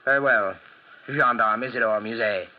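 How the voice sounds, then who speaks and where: Brisk, authoritative man as a banker, muffled. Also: mid-range voice, slight background noise, close to an everyday voice. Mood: brisk, stern. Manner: crisp, authoritative. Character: brisk, condescending but courteous male French banker. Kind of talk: 1940s radio acting. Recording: muffled old radio recording.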